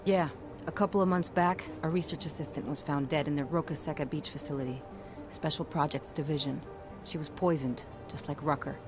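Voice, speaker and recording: A woman's voice: sits low, steady tone, brisk, few pauses, natural voice. An actress playing a blunt, wary female pilot in a video game cutscene. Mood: brisk. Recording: game cutscene with music behind.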